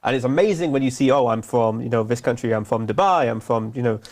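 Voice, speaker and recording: A man co-hosts a casual business talk, chatty and easygoing. He talks quickly, one thought running into the next, at a middling pitch. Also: darkish tone. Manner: chatty, quick, laughs easily. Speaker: co-host.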